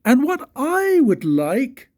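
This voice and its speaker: An eager little storybook mouse, acted out by an older man, chattering greedily and insistently. The voice is pushed up high, husky, and leaps about as he piles item on item. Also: huge pitch swings, takes it slowly, darkish tone, heavily acted. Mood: eager, greedy. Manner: breathless, insistent listing. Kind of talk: storybook character voice.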